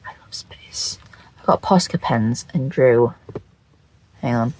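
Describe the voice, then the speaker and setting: A makeup video creator with a croaky, just-woken voice, chatting casually to camera in a scatterbrained, rambling, sweary way and repeating phrases. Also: mid-range voice, slow, darkish tone, a little hiss or hum, poor audio.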